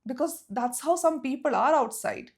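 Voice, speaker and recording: A woman's voice: high voice, animated, moderate pace, gravelly. A young woman with a South Indian accent, talking to camera in an emphatic, chatty storytime vlog. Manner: chatty and emphatic, rants with rising indignation. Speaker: vlogger.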